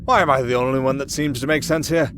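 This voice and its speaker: Castaway sailor-singer for fantasy audio roleplay, male actor. Medium male pitch, wry and faintly sad, halting asides, noticeable background ambience. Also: steady tone, a bit bright, lightly acted. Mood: cajoling, flustered. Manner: hesitant, dryly sardonic, chatty. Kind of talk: ASMR roleplay monologue. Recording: clearly audible ambient noise under voice.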